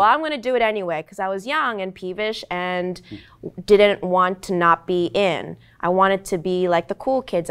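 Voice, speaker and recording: A woman's voice: fairly low pitch, a bit bright, clear, resonant tone. A young woman actor in her twenties, whose first language was Korean, talking earnestly and reflectively on a panel with many "like"s and "um"s. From United States. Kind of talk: candid panel talk.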